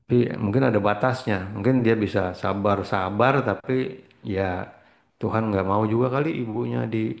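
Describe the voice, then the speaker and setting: A middle-aged man gives a loose, meandering motivational talk in a low-pitched voice. He drifts between thoughts, slips English words into his speech and clears his throat often. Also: brisk. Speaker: spiritual trainer.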